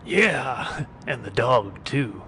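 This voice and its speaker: A man voicing a wry, taunting street bum and vigilante sidekick in an animated series, mock-polite and sardonic. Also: drawn-out words, heavily acted. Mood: gleeful taunting. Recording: clear low rumbling background noise.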